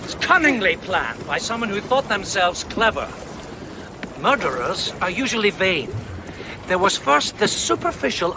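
An intense amateur sleuth in a radio mystery. His high, animated voice lays out a case with theatrical deliberation, over clearly noisy boat ambience outdoors. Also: exaggerated voice. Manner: theatrical, deliberate, building suspense. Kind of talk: radio drama acting.

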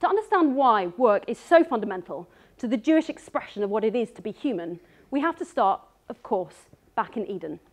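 Woman giving a measured stage talk, high voice. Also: animated. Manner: earnest, measured delivery reading from notes. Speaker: speaker. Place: dark stage before an audience.

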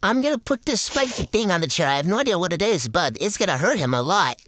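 Sneaky cartoon monkey prankster, acted, bright forceful voice, animated pitch. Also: fast, dry recording. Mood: scheming.

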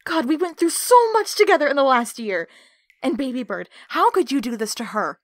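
A woman playing a betrayed, defiant captive in an audio drama, speaking with hurt, accusing, sharp-tongued emotion. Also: sits high, very animated, normal speed, no room sound. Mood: angry, accusing. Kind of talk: audio roleplay acting.

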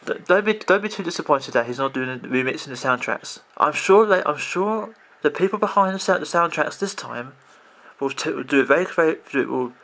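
Croaky man rambling off the cuff. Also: fairly high pitch, expressive, a little fast, a bit bright. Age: 30s. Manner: rambling, restarts often, many "you know"s. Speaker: video game vlogger. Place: indoors at a computer.

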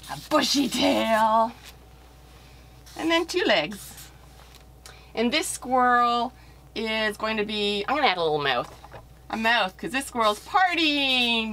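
A woman's voice: a little high, pushed and bright, slight background noise. A middle-aged woman, an author-illustrator, talks casually to young children in simple, encouraging explanations.

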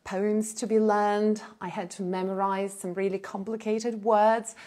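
A woman's voice: mid-range voice, a little slow. Woman telling her story on a live stream. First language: Russian. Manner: animated, gestures a lot while talking, with frequent fillers and short laughs. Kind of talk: casual personal storytelling. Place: indoor room in front of a plain blue backdrop. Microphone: small clip-on lapel mic.

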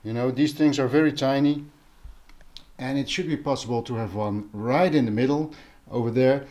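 A man talking casually as a vlog host, explaining things in a musing, unhurried manner while filming indoors. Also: mid-range voice, a little mellow. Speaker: audio vlogger, host. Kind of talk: casual vlog talk over handheld footage. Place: living room with carpet and couch, indoors.

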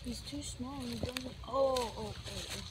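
A teenage boy vlogging outdoors in the woods on a handheld phone, chatty and excitable, cursing under his breath as he works. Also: very high voice, moderate pace. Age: teens. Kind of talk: casual outdoor vlog. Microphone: handheld phone camera. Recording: loud outdoor noise on phone.

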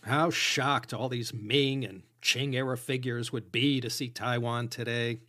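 American guy narrating his history podcast, measured, dry little asides, dead dry room. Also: mid-range voice, fairly even intonation, sharp tone, dry recording. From United States. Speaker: podcast host.

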